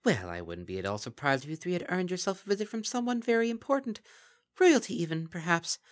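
A man's voice: sits high, big pitch swings, fast, pitched above the performer's own voice. A man voicing a sly, mocking female kelpie in a lilting sing-song manner for a story reading.

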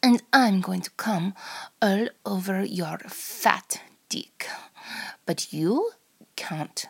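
A woman acts out a domineering Russian female spy in an adult audio roleplay. The voice is husky and breathy, with a crackle of fry, often dropping to a whisper. The Russian-accented delivery is slow, teasing and full of threat. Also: mid-range voice, animated, heavily acted. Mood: menacing, seductive. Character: seductive, menacing Russian female spy interrogating a captive.

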